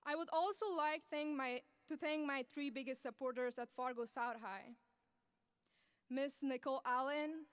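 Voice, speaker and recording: A young woman from Afghanistan giving an earnest, measured graduation speech as the student speaker at a podium microphone. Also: sits high, smooth, dry recording. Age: young adult. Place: large ceremony venue.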